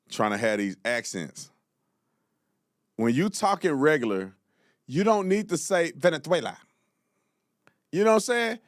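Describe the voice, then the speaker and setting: Animated mocking male commentary host. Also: fairly high pitch, dry recording, clean studio sound. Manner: mocking, exclaims loudly, slangy.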